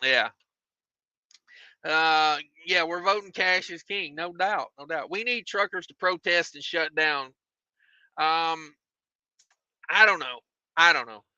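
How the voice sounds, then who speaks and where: A man hosting a trucking livestream, reading viewer comments in a relaxed, rambling way with many fillers and repeated phrases, his voice getting tired and hoarse. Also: fairly high pitch, slow pace, long pauses, no echo, dull audio. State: losing his voice.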